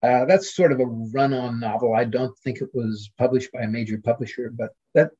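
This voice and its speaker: A man speaking as a scholarly podcast guest in casual discussion, halting as he searches for names and words. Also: mid-range voice, warm tone, slightly rough, muffled recording.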